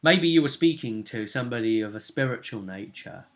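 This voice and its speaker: A man's voice: medium pitch, expressive, fairly quick, a bit bright. A man chatting casually as a game master, retelling a role-playing session like a story.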